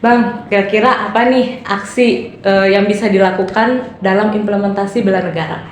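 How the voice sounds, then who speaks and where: A young woman from East Nusa Tenggara hosting a casual podcast interview, friendly and full of fillers and quick agreements. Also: medium pitch, fairly even intonation. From East Nusa Tenggara, Indonesia. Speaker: podcast host. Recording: very echoey room, faint noise.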